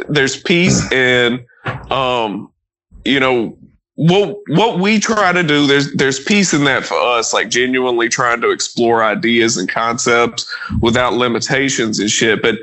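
A man's voice: medium pitch, bright, forceful tone, rough recording. A man in his thirties hosting a podcast, talking casually and emphatically with frequent profanity. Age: 30s. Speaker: podcast host. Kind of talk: casual conversation.

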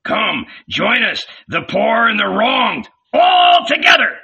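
A man's voice: on the high side, expressive, measured pace, gravelly, bright, forceful tone, breathy edge, recorded from a distance, lo-fi sound, full character voice. A man voicing a fierce, defiant red-bearded rebel who barks commands in an audiobook. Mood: rallying. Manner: barking orders, commanding.

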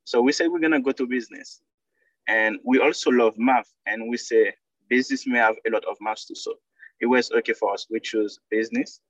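A man in his thirties from Haiti with a Haitian accent, speaking earnest, halting English as a guest on a podcast. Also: medium pitch, no echo, highs cut off. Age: 30s. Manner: earnest, halting non-native English with simple phrasing. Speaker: doctoral student guest. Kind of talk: podcast interview.

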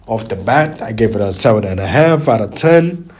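A man with a raspy, stuffy voice from a cold, talking casually and in a rambling way as a solo podcast host, repeating phrases for emphasis. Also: lowish voice, lively intonation, normal speed, darkish tone. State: stuffy, slightly hoarse from a cold.

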